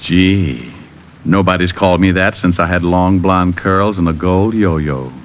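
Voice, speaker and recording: A man's voice: sits low, a little slow, a little hiss or hum. A man playing a wry, easygoing private detective, wisecracking and narrating in 1950s radio drama style. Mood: wry, casual. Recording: dull old radio recording, music behind.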